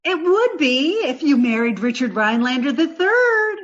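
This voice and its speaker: An actress playing a sweet, naive, dim-witted young woman in an old-time radio sitcom style, earnest and slow on the uptake. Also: sits high, big pitch swings, fairly bright tone, lo-fi sound. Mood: naive, chirpy.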